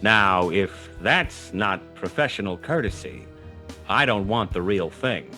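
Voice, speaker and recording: An actor playing a wry, hard-boiled private detective, narrating a noir radio drama in a dry, sardonic way. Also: male voice, on the low side, very animated, slow, sharp tone, faint music behind, full character voice. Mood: satisfied, wry.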